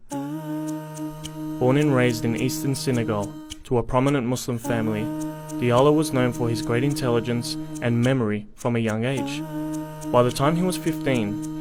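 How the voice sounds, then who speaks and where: A man narrates a scripted documentary in a mid-pitched voice. His delivery is measured and articulate, like a storyteller's, with music clearly playing behind him.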